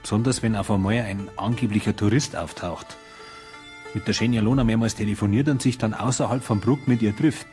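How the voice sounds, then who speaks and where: Actor doing tired bavarian cop, dry n laconic, kinda low, radio crime drama, music behind. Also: male voice, a little fast, darkish tone, natural voice. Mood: dry, reasoning. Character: weary, dry Bavarian police detective. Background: background music.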